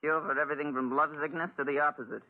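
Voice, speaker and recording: A woman's voice: sits low, steady tone, rapid-fire, lightly acted. A woman playing a brash, nosy middle-aged bar owner in 1940s radio style, coaxing and theatrical. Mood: coaxing, scheming. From United States. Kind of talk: 1940s radio adventure acting. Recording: tinny 1940s radio recording.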